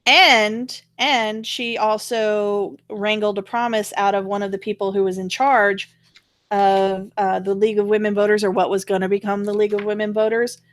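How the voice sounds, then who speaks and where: A woman hosting a casual talk show, chatting in a halting, wandering way. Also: mid-range voice, level tone, no room sound. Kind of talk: casual conversational commentary.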